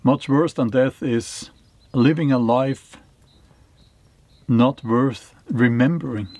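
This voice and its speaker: A middle-aged Norwegian man speaking English with a Norwegian accent, giving a slow, reflective monologue to camera, with pauses and fillers. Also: mid-range voice, warm tone, no room sound. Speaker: channel host, historical novelist. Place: small cave in a forest.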